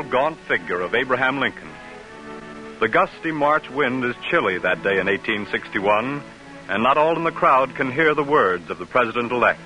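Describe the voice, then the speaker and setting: A man's voice: mid-range voice, pushed and bright, poor audio. A man narrating an old-time radio drama in a solemn, declamatory, scripted style. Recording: vintage radio broadcast recording. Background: music.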